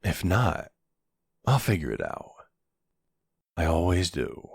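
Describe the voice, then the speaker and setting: Man playing a deep, smug young mafia boss. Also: very animated, vocal fry, slightly rough, no echo, clean studio sound, heavily acted. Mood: smug, playful. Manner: teasing, unhurried, smug. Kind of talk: ASMR boyfriend roleplay acting.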